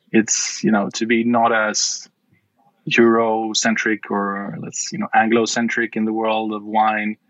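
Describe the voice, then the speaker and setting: A middle-aged man from Sweden with a Swedish accent, answering interview questions with many pauses and restarts. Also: on the low side, a bit bright, no echo, highs cut off. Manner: hesitant, many fillers and restarts. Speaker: wine guide founder. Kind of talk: podcast interview.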